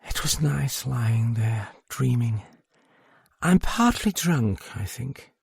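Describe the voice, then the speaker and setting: Tipsy young Englishwoman, put on by a male reader, English accent, slurred and raised in pitch, defiant then self-pitying, for a mystery audiobook. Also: big pitch swings, a little slow, darkish tone, slightly rough, dry recording, studio quality, heavily acted. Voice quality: slurred, broken voice. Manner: swings between surly defiance and self-pity.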